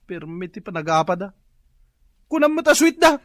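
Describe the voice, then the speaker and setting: A high-pitched, sharp-voiced husband from a comic radio drama. He is an excitable fish vendor whose delivery swoops melodramatically, full of exclamations and complaint. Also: normal speed, no room sound. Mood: aggrieved. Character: excitable hard-pressed husband Berto, a tilapia fish vendor.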